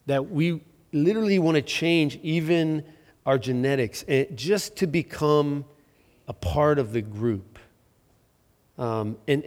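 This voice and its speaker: A middle-aged man preaches in a loose, conversational way, joking at his own expense and restarting his sentences often. His voice sits at an ordinary middle pitch. The sound carries the long reverb of a large hall. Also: a little mellow. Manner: conversational, self-deprecating, many restarts and fillers. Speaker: pastor. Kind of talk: sermon.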